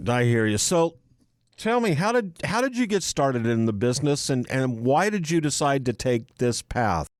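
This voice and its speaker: A man's voice: mid-range voice, big pitch swings, normal speed, dry recording, clean studio sound. A man hosting a music business podcast, introducing his guest with chatty banter. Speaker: podcast host. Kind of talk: interview hosting.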